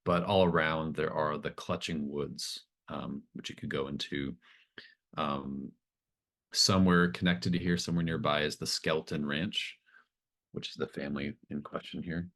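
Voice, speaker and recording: Male game master, extremely low voice, improvised scene-setting in halting phrases. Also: fairly even intonation, a bit bright. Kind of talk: improvised tabletop game narration.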